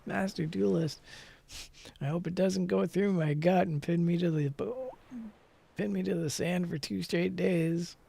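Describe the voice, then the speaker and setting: Man, higher-pitched voice, creaky, playful game streamer, storytelling read-aloud delivery. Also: fairly even intonation, unhurried, warm tone, no echo.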